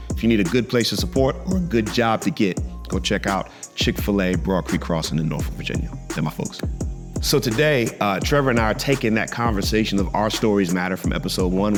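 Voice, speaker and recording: An American man speaking as a podcast host, chatting casually to his listeners. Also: lowish voice. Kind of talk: podcast intro and casual chat.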